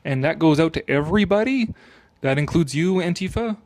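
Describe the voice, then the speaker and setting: A man doing a dramatic reading with off-the-cuff commentary, animated and sarcastic in his manner. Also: on the high side, fairly quick, no room sound.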